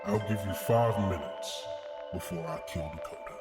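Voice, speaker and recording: A deep, menacing villain, played by a man at a gaming table, taunts with calm, slow threat. Loud music runs over the low voice. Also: lightly acted. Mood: calm menace.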